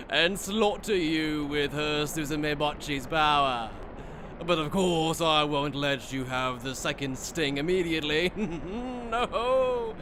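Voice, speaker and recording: Man playing gloating, high-voiced anime villain, noisy recording. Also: close to an everyday voice. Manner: theatrical, mocking, cackling. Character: gloating, sadistic male aristocrat villain with magic sword. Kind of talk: anime fan-dub audio drama acting. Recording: clearly noisy background.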